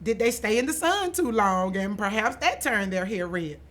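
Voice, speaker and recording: A woman's voice: medium pitch, expressive, moderate pace, fairly bright tone, natural voice. Woman voicing a nosy, astonished male stranger. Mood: prying. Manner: exclaiming, questioning.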